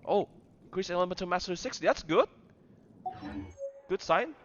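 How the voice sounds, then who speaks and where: A man giving casual gameplay commentary in a halting, rambling way, often repeating his phrases. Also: high-pitched, animated, fairly bright tone, dull audio, a little hiss or hum. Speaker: gamer commenting on his own play.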